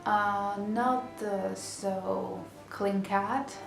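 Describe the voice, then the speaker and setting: A woman's voice: medium pitch, fairly even intonation, extremely slow, vocal fry. A young woman with a Greek accent, chatting to camera in a casual vlog with many fillers and restarts. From Greece. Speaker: vlogger. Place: small bedroom indoors. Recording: roomy echo, music behind. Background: background music.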